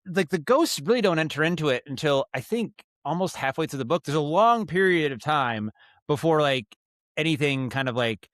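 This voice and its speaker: A man speaking as a podcast guest in casual interview talk, explaining his craft in a halting, thoughtful way with frequent self-corrections. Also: a little high, animated, a bit bright, no echo, studio quality. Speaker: guest, audio drama writer and director.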